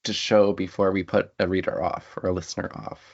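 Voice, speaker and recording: Gravelly, husky man in conversation. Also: sits low, level tone, brisk. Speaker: interview guest, writer and academic. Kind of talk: conversational interview discussion. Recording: slightly dull, lo-fi audio.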